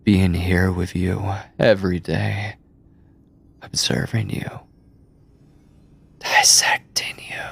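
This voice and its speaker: A man playing an obsessive mad scientist captor in a horror ASMR roleplay, coldly doting and clinical, with condescending endearments, theatrical pauses and a breathy, husky voice. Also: low-pitched, steady tone, exaggerated voice. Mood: gloating, fascinated. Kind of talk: horror ASMR roleplay, intimate monologue to listener.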